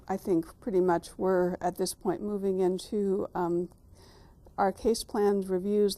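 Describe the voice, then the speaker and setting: Soft, mellow-voiced woman co-presenter, quiet, sticks close to her notes. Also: mid-range voice. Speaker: training co-presenter. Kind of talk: presenting a court training session.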